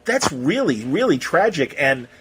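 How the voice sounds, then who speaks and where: A man with a husky voice conducting a casual interview, asking halting, rambling questions as a podcast host. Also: on the high side, big pitch swings, fairly bright tone, poor audio.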